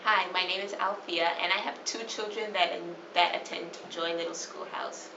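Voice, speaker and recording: Low, gravelly-voiced woman. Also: steady tone, normal speed. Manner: enthusiastic, with fillers. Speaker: parent. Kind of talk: casual testimonial. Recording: echoey dull recording, ambient noise.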